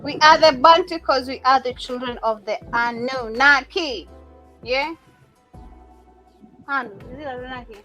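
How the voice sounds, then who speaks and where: A woman's voice: high voice, moderate pace, pauses often, sharp tone, faint music behind. A woman co-hosting a casual talk show, speaking bluntly and outspokenly. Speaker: co-host.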